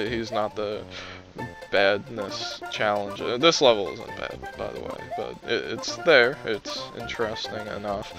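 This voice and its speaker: A young man giving casual, rambling commentary over his gameplay, wry and self-deprecating, his voice strained from talking a long time. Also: on the low side. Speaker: let's play commentator.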